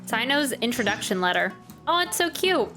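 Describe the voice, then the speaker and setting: Woman, higher voice, informal streamer, musing aloud, faint game music behind. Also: sing-song, normal speed. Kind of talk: casual live game commentary.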